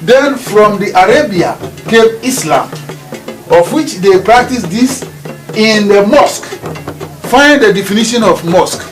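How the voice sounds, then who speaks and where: A man's voice: high voice, lively intonation, unhurried, soft background music, rough recording. A man with a Ghanaian accent giving a spiritual teaching, emphatic and repetitive, driving each point home by restating it. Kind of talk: preaching lecture.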